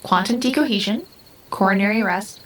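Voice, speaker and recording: A woman's voice: mid-range voice, a little fast, a bit bright, lightly acted. A woman playing a formal, literal artificial being in an audio drama, speaking in a precise, stilted, unemotional way. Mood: calm, detached.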